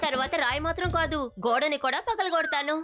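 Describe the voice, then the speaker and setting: A woman's voice: high voice, fast, lightly acted. A woman voicing a chatty, boastful, comical child in a cartoon. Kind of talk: cartoon dub acting. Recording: music under the voice.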